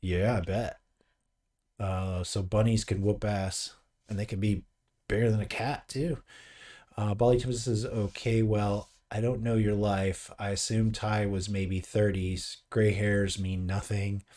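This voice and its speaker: A man in his late forties chats casually on a live painting stream. His voice sits on the low side. He rambles and jokes while reading viewer comments aloud. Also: level tone, a little slow, dark tone. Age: 40s. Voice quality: graying beard mentioned, easygoing. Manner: rambling, joking, reads chat aloud.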